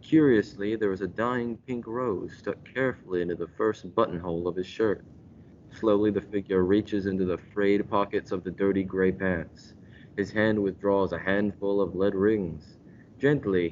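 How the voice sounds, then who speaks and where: A young man playing an ominous, melodramatic announcer, narrating a radio mystery in a deliberate, dramatic way. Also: steady tone, a little mellow, lightly acted. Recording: dull lo-fi recording with rumbling noise.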